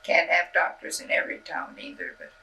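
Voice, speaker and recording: An older man whose first language was Swiss German, reminiscing in a halting, rambling way in an oral-history interview, often trailing off mid-sentence. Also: on the high side, very animated, bright, forceful tone, highs cut off. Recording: distant mic on old videotape.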